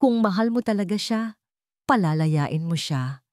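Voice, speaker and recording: A woman's voice: mid-range voice, lively intonation, a little slow, a little mellow, no echo, studio quality, close to an everyday voice. A woman playing a cold, contemptuous wealthy old patriarch in an audio drama, with a cutting, emotionless delivery. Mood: cold contempt. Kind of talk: dramatic audio story dialogue.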